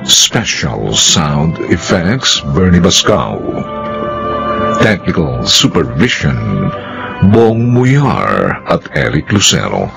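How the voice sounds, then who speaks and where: Deep solemn radio drama narrator guy, huge pitch swings, music playing behind. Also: big pitch swings, creaky. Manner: solemn, theatrical, deliberate.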